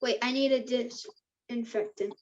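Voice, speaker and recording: A woman plays a worried, caring teenage boy in a script table read. The voice is distinctly high. The recording is dull and lo-fi. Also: fairly even intonation, normal speed, close to an everyday voice. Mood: urgent, concerned. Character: Max, anxious, caring teenage boy helping a friend.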